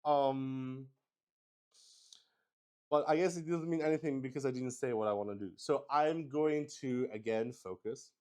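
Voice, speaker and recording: A man with a clean, resonant voice narrates his solo tabletop game in a casual, improvised way. He takes his time, at a markedly slow pace, often halting to talk himself through each step. His pitch sits in an ordinary middle range for a man. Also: a little mellow, clear, resonant tone. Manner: halting, thinking aloud, talking to himself. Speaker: host and player of a solo tabletop roleplaying game.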